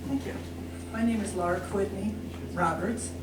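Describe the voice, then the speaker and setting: A woman's voice: medium pitch, normal speed, music in the background. An older woman speaking nervously and hesitantly as a resident at a public comment session, full of questions. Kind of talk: unscripted public remarks. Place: public meeting room. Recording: echoey room, distant mic, noisy.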